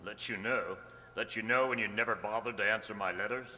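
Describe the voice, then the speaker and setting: An actor playing a bitter, sardonic young aristocrat in a melodramatic radio play. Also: male voice, on the low side, fairly even intonation, brisk, pushed and bright. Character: bitter, sneering young aristocrat, a wronged cousin. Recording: noisy, echoey hall recording.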